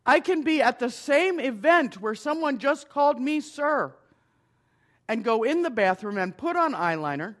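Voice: high-pitched, big pitch swings, moderate pace, a bit bright. A middle-aged minister giving a conversational lecture to an audience, with wry asides.

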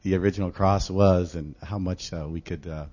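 A man with a low, hoarse voice, still getting over an illness. He speaks in a halting way with many fillers while sharing an idea with the group. The recording is dull and lo-fi. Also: steady tone, a little mellow. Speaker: congregation member. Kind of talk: casual talk at a meeting.

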